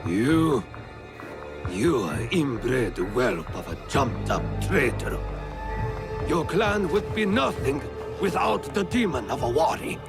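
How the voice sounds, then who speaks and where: An actor playing a proud, defiant old clan leader in a video game, with a gravelly voice. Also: male voice, mid-range voice, very animated, slow, full character voice. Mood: scornful, threatening. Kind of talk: video game cutscene acting. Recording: music under the voice.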